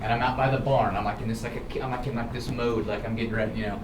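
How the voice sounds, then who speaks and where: An American man tells informal personal stories in an animated, dramatic way, often checking in with his listeners. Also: medium pitch, rapid-fire. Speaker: storyteller, show host. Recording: roomy, distant, clearly noisy recording.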